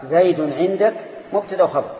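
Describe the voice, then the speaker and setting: A man with a fairly high voice leads a grammar lesson, firing questions at his students and correcting them curtly. He sounds tinny and narrow, as if heard over a phone line. The sound rings around a large echoey hall, and a faint noise sits behind him. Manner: asks quick questions and corrects answers curtly. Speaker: grammar teacher. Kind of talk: quizzing students in a classroom lesson.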